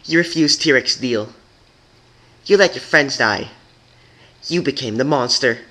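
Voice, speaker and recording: A man's voice: medium pitch, measured pace, pushed and bright, voice pushed up. A man voicing a bitter rebel pony mare with a hostile, accusing snarl, in a storybook reading. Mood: bitter accusation.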